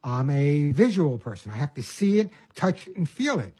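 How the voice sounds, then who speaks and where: An older man from Long Island talks about dogs in a loose, rambling way, circling back and restarting his sentences. His voice is warm and a little husky, sitting slightly high for a man. The sound is close and dry. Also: sing-song, normal speed, dry recording. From Long Island, New York. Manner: rambling, restarts, folksy asides. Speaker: pet show host and dog trainer. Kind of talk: casual monologue.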